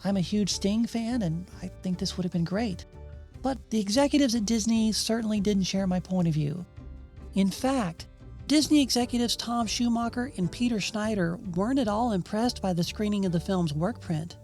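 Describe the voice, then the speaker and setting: A woman's voice: mid-range voice, moderate pace, a little mellow, faint music behind. A woman hosting and narrating a scripted video essay. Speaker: narrator and host.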